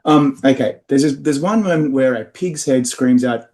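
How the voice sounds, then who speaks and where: A man with a mid-pitched voice interviews a guest on a podcast. He asks his questions in a chatty, enthusiastic way and laughs easily. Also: moderate pace, darkish tone. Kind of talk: casual interview.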